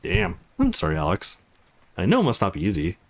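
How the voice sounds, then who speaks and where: Man acting a friendly young guy, hugely animated. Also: fairly low pitch, a little fast, vocal fry, pitched below the performer's own voice, exaggerated voice. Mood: supportive, joking. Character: joking, friendly young man, eager and supportive. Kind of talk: visual novel dialogue read aloud.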